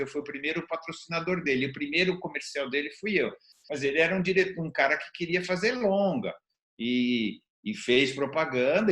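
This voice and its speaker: A man's voice: fairly high pitch, fairly bright tone. A middle-aged or older Brazilian man in a conversational discussion, explaining things and repeating phrases for emphasis. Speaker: interview show host. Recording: roomy, echoing sound.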